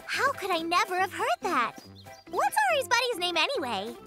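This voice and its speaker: Very high, fussy little girl fox. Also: sing-song, normal speed, sharp tone, faint music behind, full character voice. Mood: curious, eager. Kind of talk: children's cartoon voice acting.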